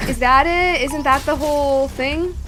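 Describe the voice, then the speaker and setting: A woman's voice: high voice, unhurried, music in the background. A woman streamer giving casual gameplay commentary, thinking aloud in repeated questions. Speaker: game streamer.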